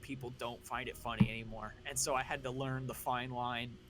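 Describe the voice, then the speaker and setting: A man's voice: mid-range voice, little pitch movement, brisk, over loud music. A young man co-hosting a stream, chatting casually and rambling through stories with restarts. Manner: rambling, self-deprecating, trails off. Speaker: stream co-host. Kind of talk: casual chat.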